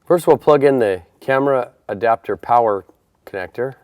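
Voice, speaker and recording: A man's voice: mid-range voice, normal speed, darkish tone, no room sound, studio quality. A man presenting a how-to installation tutorial, explaining each step in a plain, methodical way. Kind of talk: step-by-step instructional narration.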